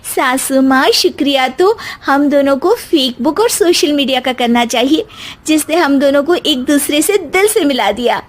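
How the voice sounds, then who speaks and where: A young daughter-in-law in a moral story, voiced by a woman, speaks in a high, girlish pitch. She is pert but caring. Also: expressive, a little slow. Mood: delighted. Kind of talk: dramatized moral story dialogue.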